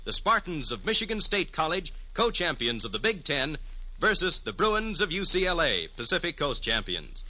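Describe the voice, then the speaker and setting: Male network announcer, American, lively scripted 1950s delivery, crisp forceful edge, muffled old radio sound. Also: a little high, normal speed. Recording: dull old radio recording.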